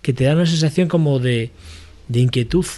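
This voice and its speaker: A man with a Spain accent talks in a medium-pitched voice. He is a film panelist offering casual analysis, feeling his way through each thought with halting, thoughtful phrasing. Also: warm tone.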